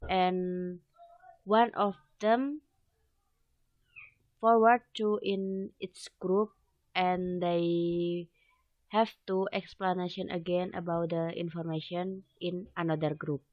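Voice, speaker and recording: Young woman, student presentation, hesitant halting English, low flat voice, dry close sound. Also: no echo, lo-fi sound. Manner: hesitant, halting non-native English with frequent "okay" fillers. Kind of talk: academic presentation.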